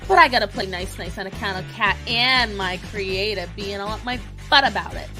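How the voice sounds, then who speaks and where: A woman's voice: mid-range voice, a little fast, music in the background, close to an everyday voice. A woman voicing a brash, foul-mouthed, confrontational young woman with bossy sass, bantering in character in a live Q&A. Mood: brash, taunting. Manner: confrontational, sassy, swearing, slangy.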